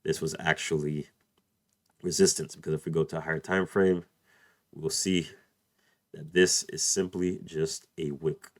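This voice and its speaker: A man with an extremely low, deep voice walks viewers through price charts, repeating his favorite praise words and phrases as he goes. He sounds a little off from a recent cold and talks into a desk mic at his computer. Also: flat delivery, measured pace, long pauses, no room sound, studio quality. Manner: repeats "beautiful" and "let's play this out", addresses "you guys". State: just over a cold, voice a bit off. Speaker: trading tutor. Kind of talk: screen-share chart walkthrough.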